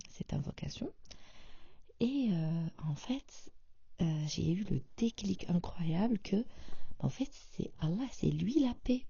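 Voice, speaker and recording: Woman podcaster, low gravelly voice, half whispering, lots of euhs, dull lo-fi dry sound. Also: no echo. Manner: soft, half-whispered and hesitant, with many fillers. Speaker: podcast host. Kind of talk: intimate personal monologue.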